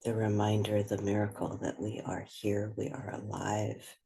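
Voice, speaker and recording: A woman leading a guided meditation, speaking gently and without hurry, leaving long pauses between phrases. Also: rumbling low, little pitch movement, gravelly. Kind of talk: guided meditation, slow and spoken softly.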